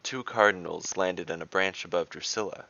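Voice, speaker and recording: Low, gravelly male fantasy narrator. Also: normal speed, sharp tone, lo-fi sound. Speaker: narrator and game master. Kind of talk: scripted fantasy story narration.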